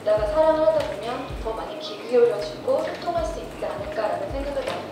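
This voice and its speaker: High-voiced thoughtful actress, echoey hall. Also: female voice, lively intonation, fast, distant mic, background noise. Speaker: actress at a press event. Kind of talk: press interview answers. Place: indoor press conference hall. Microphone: handheld mic.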